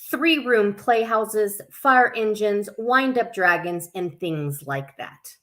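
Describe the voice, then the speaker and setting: A woman's voice: medium pitch, a bit bright. A woman hosting a live story time for children, reading aloud with informal, chatty asides. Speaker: camp staff story time host.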